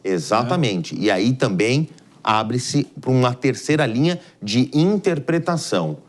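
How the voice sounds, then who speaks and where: A man's voice: mid-range voice, animated, measured pace. A man with a Brazilian accent giving live explanatory news commentary as an analyst, in a didactic, emphatic way.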